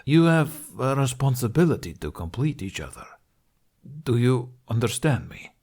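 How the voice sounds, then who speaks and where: A man's voice: mid-range voice, sing-song, normal speed, darkish tone, husky, pitched above the performer's own voice. A man narrating a storybook puts on an older Hungarian master archer, stern and earnest. He speaks solemnly and formally, stressing his points like a teacher who expects to be obeyed. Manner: solemn, emphatic, formal phrasing.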